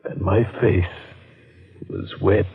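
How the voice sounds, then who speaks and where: An actor playing an anguished, guilt-ridden man, halting and hesitant, in an old-time radio drama. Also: deep voice, steady tone, creaky, slightly rough, heavily acted. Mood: weary, remorseful. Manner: hesitant, halting, repeats himself. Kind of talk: old-time radio drama acting and first-person narration. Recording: muffled, tinny vintage radio recording.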